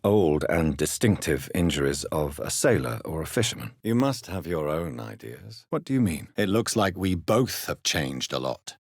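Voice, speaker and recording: A deep, husky vampire doctor. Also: male voice, very animated, no room sound, clean studio sound, heavily acted. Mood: grave, sombre. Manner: formal, measured, articulate, earnest. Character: grave, courteous male doctor turned vampire, 1910s London. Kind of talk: dramatic video game dialogue.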